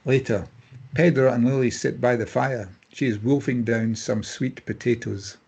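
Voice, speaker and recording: Husky man narrating stage directions. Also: mid-range voice, steady tone, moderate pace, darkish tone. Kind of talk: scripted narration.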